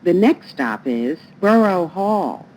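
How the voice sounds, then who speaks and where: A woman's voice: lowish voice, big pitch swings, takes it slowly, darkish tone. A woman reading scripted transit announcements in a formal, phrase-by-phrase manner, played over train speakers. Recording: muffled, noisy recording inside train. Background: train ambience noise.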